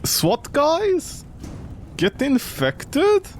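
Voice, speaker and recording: A man's voice: very high-pitched, wildly swooping pitch, extremely slow, slight background noise. A man giving casual, sarcastic and incredulous commentary as he streams a video game.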